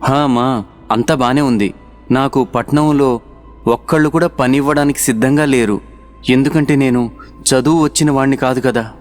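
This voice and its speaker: An earnest, hardworking young village man, played by a male actor in a children's cartoon story. His voice sits at a medium pitch and his delivery is simple and dramatic. Music plays softly behind him. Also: fairly even intonation, moderate pace, long pauses, lightly acted. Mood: resigned. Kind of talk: animated children's moral story dialogue.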